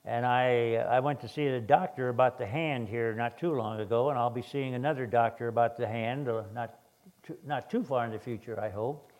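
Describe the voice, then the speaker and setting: An older man with a hoarse voice, preaching a sermon in a halting way with many restarts and fillers. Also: medium pitch, steady tone, a little mellow. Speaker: guest preacher.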